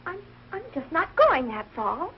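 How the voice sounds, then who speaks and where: A young woman playing a sensitive, proud, easily embarrassed American high-school girl in a 1940s television sitcom. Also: big pitch swings, measured pace, heavily acted. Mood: hurt, defensive. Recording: dull, hissy old television soundtrack.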